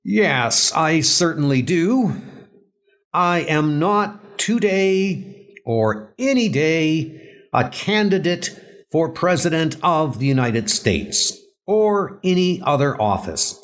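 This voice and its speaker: A man playing an emphatic male columnist who makes firm, drawn-out public statements in an audio comic book. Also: fairly high pitch, sing-song. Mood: firm, cheerful. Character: emphatic male columnist firmly declining candidacy.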